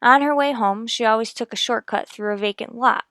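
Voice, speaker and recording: A woman's voice: a little high, a little fast, fairly bright tone, no echo, clean studio sound. A woman playing a coolly methodical police detective sergeant in a radio crime drama, clipped and matter-of-fact. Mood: pressing, accusing.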